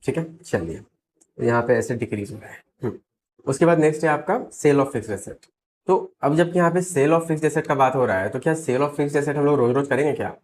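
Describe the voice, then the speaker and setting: A man with an Indian accent and a slightly hoarse voice, teaching step by step and checking in with rhetorical questions. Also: on the high side, moderate pace, a little mellow. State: slightly hoarse, sore throat. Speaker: accountancy teacher. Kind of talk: explanatory lesson mixing Hindi and English.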